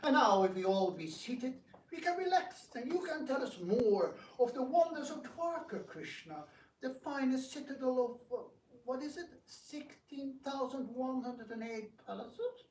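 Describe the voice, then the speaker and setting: A man's voice: very high voice, animated, measured pace. A man playing a proud, scheming prince who feigns gracious courtesy in a theatrical reading. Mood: effusively gracious. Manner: florid, exaggerated courtesy. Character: proud, scheming Indian prince, a grandfather, falsely gracious host. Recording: echoey room, distant mic, background noise.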